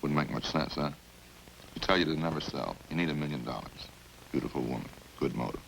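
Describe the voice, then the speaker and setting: A very low, creaky-voiced killer in an old radio crime drama. He rambles casually and folksily, calm as he confesses, on a faintly hissy vintage recording. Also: a little fast, full character voice, rough recording. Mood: matter-of-fact. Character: chatty, hungry male killer calmly confessing over supper.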